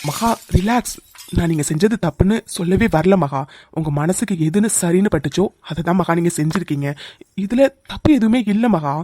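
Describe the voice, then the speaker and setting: Gentle young man reassuring, in dry sound acted. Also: high-pitched, animated, a little fast, no room sound. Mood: concerned. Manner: soothing, insistent, repetitive. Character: gentle, caring young male office colleague, reassuring. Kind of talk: emotional audio drama acting.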